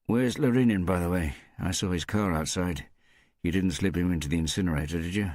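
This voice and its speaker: A man plays a stubborn militia detective in a crime audiobook. He is gruff and curt, with a very deep voice, recorded dry. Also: a little fast, darkish tone, no echo, lightly acted. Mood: dry banter.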